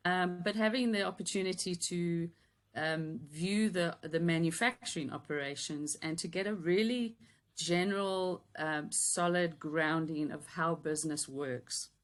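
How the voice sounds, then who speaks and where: A woman in her fifties with a softened Yorkshire accent and a low, steady voice. She talks as an interview guest, chatty and reflective, pausing often on ums. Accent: Yorkshire English softened by South African years. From Yorkshire, England. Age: 50s. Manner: warm, chatty, thoughtful with frequent ums and light laughter. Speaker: guest, business coach. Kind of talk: casual interview chat.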